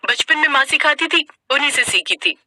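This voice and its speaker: Woman doing dutiful young bahu in a cartoon story, respectful, sharp bright voice. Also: sits high, lively intonation, brisk, no room sound, pitched above the performer's own voice, full character voice. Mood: modest, polite. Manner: respectful, obliging. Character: dutiful, polite young daughter-in-law who cooks. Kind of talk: Hindi cartoon family moral story.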